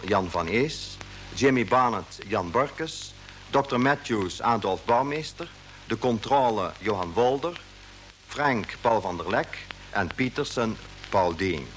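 Male announcer, old-time radio drama credits, deliberate and unhurried, middle register. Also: mid-range voice, expressive, a bit bright, faint music behind, rough recording. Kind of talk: formal scripted 1950s radio announcement.

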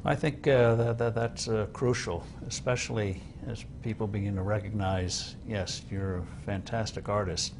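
An older man hosting a sit-down interview, asking long measured questions with "uh" fillers. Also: on the low side, steady tone, noisy background. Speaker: interviewer host. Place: studio set like a living room.

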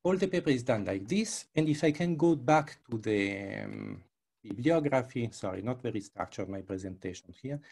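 Gravelly older man presenting, non-native English speaker. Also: medium pitch, lively intonation, moderate pace, a little mellow. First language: not English. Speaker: researcher presenting his work. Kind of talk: live presentation with software demo. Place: indoors, on a video call. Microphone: headset.

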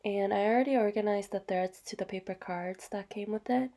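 Female vlogger, mellow and a little gravelly, slightly hoarse from a cold, chatty show-and-tell voice-over. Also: mid-range voice, a little fast. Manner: soft, chatty, with fillers and small apologies. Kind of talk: casual show-and-tell narration.

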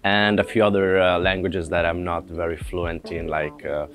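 A man's voice: sits low, flat delivery, moderate pace. A man in his late twenties, a native Italian speaker, chatting casually to the camera with fillers and restarts, recorded on a lapel mic indoors. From Italian household. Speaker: presenter.

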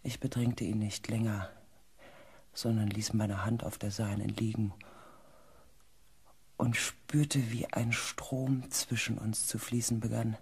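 A man playing an earnest, compassionate expedition researcher who tells his story gently and deliberately in a sci-fi radio play. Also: on the low side, little pitch movement, breathy edge, exaggerated voice. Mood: calm narration. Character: earnest, compassionate male space-expedition researcher recounting events. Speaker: narrator and character in a radio play. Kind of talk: German sci-fi radio drama acting.

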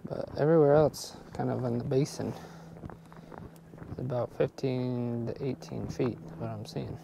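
A man's voice: medium pitch, steady tone, normal speed, creaky, noisy background. A young man vlogging casually outdoors on a frozen lake, chatting upbeat to viewers about his fishing gear, recorded on an action camera. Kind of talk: casual outdoor vlog chat.

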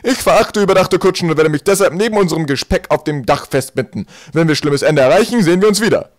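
A crazy, gruff old great-uncle acted out by a male reader in a storybook. He bellows brusque orders in a raised, swooping voice and stretches out his words. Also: fairly high pitch, animated, pitched above the performer's own voice, lightly acted. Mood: bossy. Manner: loud, brusque, drawn-out commands.

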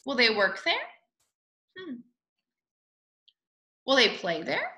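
A woman in her twenties reading a picture book aloud to young children in an expressive way, with drawn-out words. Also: on the high side, gravelly. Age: 20s. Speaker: storybook reader. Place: small room at home, indoors. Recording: echoey room.

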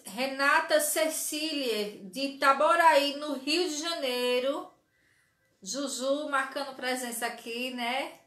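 A woman's voice: high voice, fairly bright tone, reverberant. A woman in her forties hosting a live sewing stream from her craft studio, chatting and greeting viewers by name. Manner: chatty, greets viewers by name, frequent "certo?" tag questions. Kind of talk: live sewing tutorial chat. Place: small craft studio with shelves of dolls, indoors.

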